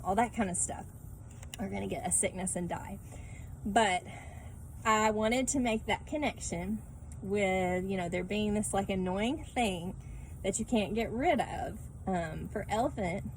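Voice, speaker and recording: A woman's voice: medium pitch, a little hiss or hum, poor audio. A woman talking casually to children as a Bible class teacher, conversational and often checking in with short tag questions. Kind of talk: casual read-aloud and lesson talk for children.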